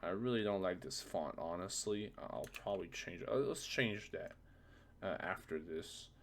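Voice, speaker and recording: Tutorial presenter, male, very low gravelly voice, informal think-aloud delivery, slight background noise and typing. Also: moderate pace. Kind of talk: casual software tutorial narration.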